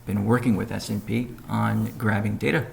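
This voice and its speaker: A man giving a measured, businesslike update on a conference call, pausing between phrases as he reads out points and answers questions. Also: lowish voice, flat delivery, gravelly. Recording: noisy conference call audio.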